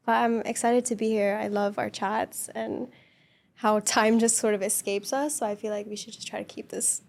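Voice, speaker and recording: A woman guest on a podcast, speaking in a soft, gentle voice in an earnest, reflective, casual conversation. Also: mid-range voice, steady tone, normal speed, creaky. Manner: earnest, reflective chat with frequent laughs.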